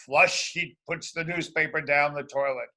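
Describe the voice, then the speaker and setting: A man reading a storybook aloud to schoolchildren in a deliberate, emphatic, expressive way. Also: on the high side. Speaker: children's storybook reader.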